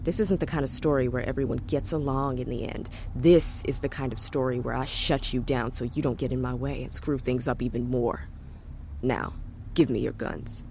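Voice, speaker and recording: A woman's voice: a bass voice, steady tone, moderate pace, darkish tone. A woman playing a bitter, threatening space-fleet officer in an audio drama, with a sarcastic, commanding manner. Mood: angry, threatening. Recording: faint background noise.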